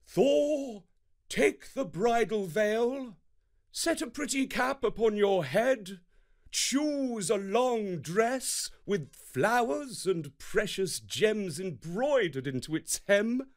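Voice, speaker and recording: A man voicing a wise, solemn watchman god in a children's storybook, giving slow, measured counsel. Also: sits high, expressive, voice pushed up. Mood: solemn advising.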